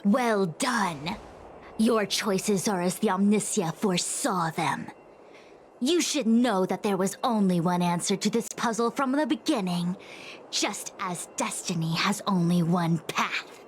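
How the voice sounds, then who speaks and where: A woman voicing a solemn, fatalistic master diviner in a game, speaking in a measured, lecturing way. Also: medium pitch, a little hiss or hum, lightly acted. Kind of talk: scripted game voice acting. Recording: background music under the voice.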